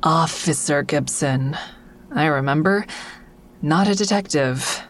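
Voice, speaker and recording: A woman's voice: sits low, slow. An actress playing a guarded, agitated, sardonic woman who narrates and speaks in an audio drama. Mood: agitated, sarcastic. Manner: sardonic, exasperated. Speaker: narrator and lead character. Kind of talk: first-person audio drama narration and dialogue.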